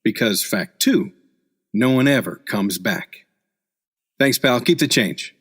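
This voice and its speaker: A man's voice: medium pitch, lively intonation, slow, a bit bright, slightly rough, heavily acted. A man acting a hard-boiled, wisecracking seasoned newspaper reporter in a noir radio play, with clipped, cynical tough-guy slang. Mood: driven, cynical.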